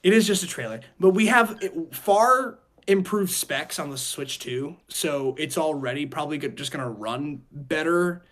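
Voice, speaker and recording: A man with a fairly high voice hosts a casual podcast discussion. He rambles his way toward his questions, often doubling back and repeating a phrase before moving on. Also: expressive, normal speed, few pauses, a bit bright. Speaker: podcast host.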